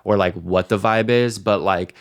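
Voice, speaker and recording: Young male podcast co-host, smooth low voice, close dry sound, slangy and profane. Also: moderate pace, no room sound. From small town. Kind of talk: casual chat.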